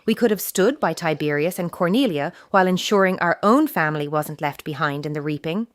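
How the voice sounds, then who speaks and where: A firm, practical Roman mother, acted by a woman, speaks with pointed authority. Her pitch moves expressively, and the audio drama recording is dry and close. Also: expressive, a little fast, no echo, close to an everyday voice. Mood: urging. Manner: commanding, pointed. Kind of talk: historical audio drama acting.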